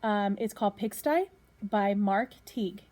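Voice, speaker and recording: A woman reading a children's picture book aloud as a teacher does for pupils, pausing to put questions to young listeners. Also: medium pitch, darkish tone.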